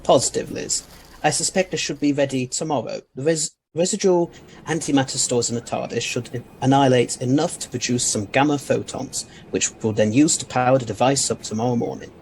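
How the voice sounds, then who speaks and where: A man playing a proud, eccentric alien scientist and time traveler in an audio drama, gravelly and formally courteous. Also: mid-range voice, brisk, a little mellow, a little hiss or hum, close to an everyday voice, poor audio. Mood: proud, stubborn.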